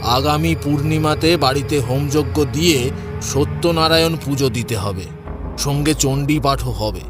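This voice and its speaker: Casting: confident middle-aged priest and old friend acted by a man, medium-pitched, radio-play style. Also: close to an everyday voice. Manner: confident, teasing, instructive. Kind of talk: audio drama acting.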